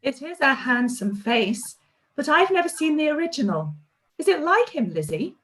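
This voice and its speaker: Radio play: woman as a lively aunt, high, animated voice. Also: moderate pace, poor audio. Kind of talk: live-read radio play.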